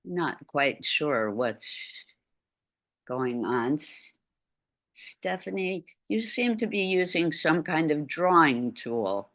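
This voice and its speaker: Middle-aged woman, low bright voice, unhurried lecturer on a video call. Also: lively intonation. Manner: slow, chatty asides while lecturing. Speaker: art lecturer. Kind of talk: online art lecture.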